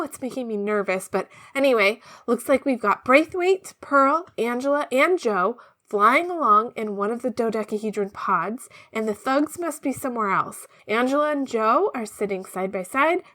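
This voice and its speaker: A woman's voice: a little high, sharp tone. A woman playing a chatty, slangy, conspiratorial narrator in an audio comedy, talking casually and gossipily. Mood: nervously upbeat. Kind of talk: conversational audio drama narration.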